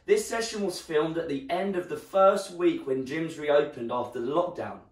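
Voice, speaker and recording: Casting note: young male fitness coach, croaky, encouraging, a bit high, recorded in a roomy living room by a far-off camera. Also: normal speed. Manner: encouraging, instructional, moving while talking. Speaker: fitness instructor. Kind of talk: leading an online workout.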